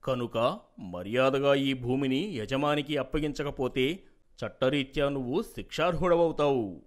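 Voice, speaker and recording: A man's voice: medium pitch, unhurried, natural voice. A man playing a stern, formal government officer in a cartoon story. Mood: stern warning.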